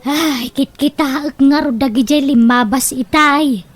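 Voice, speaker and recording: An actress playing a chatty, nosy village auntie in an Ilocano comedy radio drama, speaking with an Ilocano accent. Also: female voice, sits high, unhurried. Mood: curious, gossipy.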